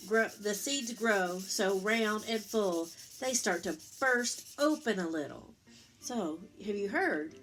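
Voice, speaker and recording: A woman reading a picture book aloud to young children in an expressive, explanatory teacher's manner. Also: medium pitch. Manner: expressive, pausing to explain and pose simple questions. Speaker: storytime reader, teacher.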